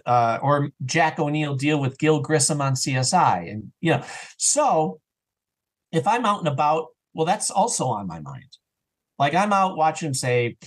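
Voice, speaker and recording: A man chatting casually as an interview guest, animated and often voicing his own thoughts as quoted self-talk. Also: mid-range voice. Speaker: podcast guest, audio drama creator and voice actor.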